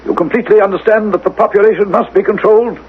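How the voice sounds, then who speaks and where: Grave high-pitched provost, played by a man, old radio sound. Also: fairly even intonation, lightly acted. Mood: coldly commanding. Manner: formal, measured, authoritative. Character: grave commanding male provost of future world rulers. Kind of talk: 1980s radio drama acting. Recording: narrow-band old radio recording, faint noise.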